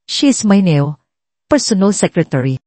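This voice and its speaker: A woman's voice: mid-range voice, very animated, unhurried, warm tone, dry recording. A Filipino woman voicing a commanding, brusque male company boss in a story drama. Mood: curt. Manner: brusque, bossy, mixes English into orders.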